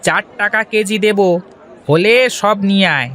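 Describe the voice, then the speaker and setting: An actor voicing a shrewd middle-aged fish merchant in a cartoon, haggling in a bossy way. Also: male voice, high voice, moderate pace, bright, forceful tone, a faint crowd, slight background noise. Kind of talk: Bengali cartoon voice acting.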